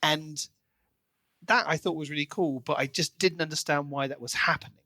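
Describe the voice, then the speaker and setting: A man's voice: on the high side, fairly even intonation, normal speed, bright, forceful tone, no echo, clean studio sound. A man co-hosting a podcast, talking casually and analytically through a book as he thinks aloud. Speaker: podcast co-host.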